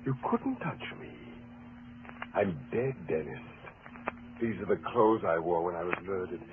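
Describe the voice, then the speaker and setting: An actor playing a cold, vengeful husband in 1940s radio mystery style, deliberate and taunting. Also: male voice, low-pitched, expressive. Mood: eerie, menacing. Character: cold, vengeful husband, posing as a murdered man's ghost. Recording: noisy, narrow-band old radio broadcast.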